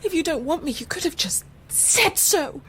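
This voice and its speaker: A woman's voice: extremely high pitch, expressive, moderate pace, pushed and bright, slight background noise, heavily acted. An actress playing a frightened, indignant young woman in a radio drama, spoiled and bewildered. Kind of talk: theatrical radio drama acting.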